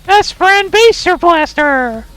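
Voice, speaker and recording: A man acts out an over-the-top anime battler, pitched far up and crying out attacks. The delivery is bombastic game-stream play, with faint music behind. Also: expressive, slow, fairly bright tone, heavily acted. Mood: battle cry. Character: shouting over-the-top anime fighter calling special moves.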